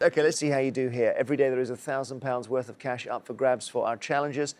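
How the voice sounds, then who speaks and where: A man's voice: medium pitch, steady tone, quick pace, a little mellow. A man hosting a TV quiz show, reading questions and bantering playfully with contestants.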